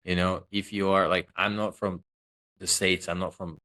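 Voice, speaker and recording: Joking Russian-born man, low voice. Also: little pitch movement, moderate pace, sharp tone. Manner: loose, joking, self-promoting, many fillers. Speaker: guest, social selling consultant. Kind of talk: casual interview talk.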